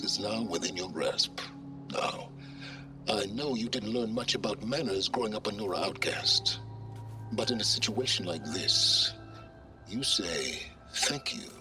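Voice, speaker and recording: An actor playing an arrogant, secretive older male researcher in a video game, speaking in a measured, pompous, sardonic and condescending way. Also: on the low side. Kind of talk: video game cutscene acting.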